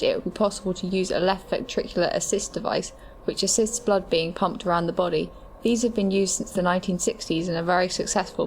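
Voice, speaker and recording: A woman's voice: on the low side, a little hiss or hum, lightly acted. A woman playing a matter-of-fact medical adviser, reading scripted explanations in an audio drama.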